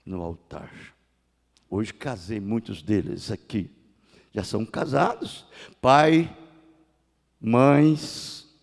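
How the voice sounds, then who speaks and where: A man in his sixties with a Brazilian Portuguese accent, preaching a sermon as pastor, rising to loud cries and dropping to hushed tones. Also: fairly high pitch, creaky. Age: 60s. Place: church sanctuary. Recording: long church hall reverb.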